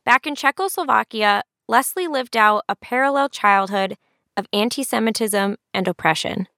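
A woman reporter narrating a documentary in a clear, steady scripted style. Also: on the high side, normal speed, no echo, clean studio sound.

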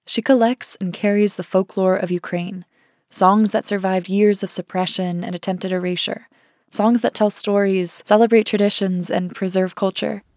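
A woman hosting a podcast, reading scripted narration in a measured, formal way. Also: mid-range voice, steady tone, darkish tone, no room sound, clean studio sound. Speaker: podcast host.

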